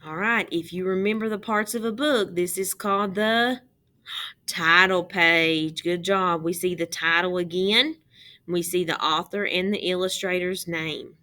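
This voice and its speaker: A woman reading a picture book aloud as a teacher, pausing to explain and ask young children questions. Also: fairly low pitch, fairly even intonation, pushed and bright.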